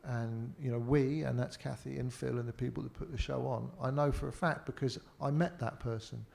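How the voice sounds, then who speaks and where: A man's voice: mid-range voice, steady tone, brisk, gravelly, darkish tone. A man with a Liverpool accent speaking on a panel in a rambling way, full of "you know". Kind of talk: casual panel talk.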